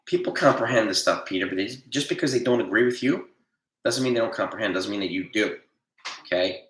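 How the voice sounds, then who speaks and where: A man hosting a casual livestream, reading viewer comments and answering them bluntly, nasal from allergies and a cold and often clearing his throat. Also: on the low side, brisk, fairly bright tone, echoey room. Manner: blunt, argumentative, defends his opinions. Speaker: livestream host.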